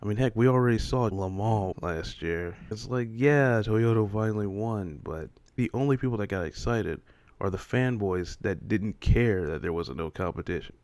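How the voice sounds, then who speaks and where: A man's voice: lowish voice, normal speed, a little mellow, dry recording. A man hosting an opinion video, talking casually and in an exasperated way, with plenty of rhetorical questions. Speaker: host of a motorsport opinion video.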